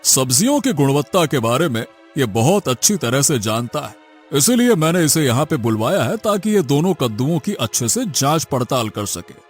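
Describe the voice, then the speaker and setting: A man's voice: medium pitch, big pitch swings, normal speed, soft background music, natural voice. A man playing an authoritative village headman judging a dispute in an audio moral story. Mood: measured, questioning.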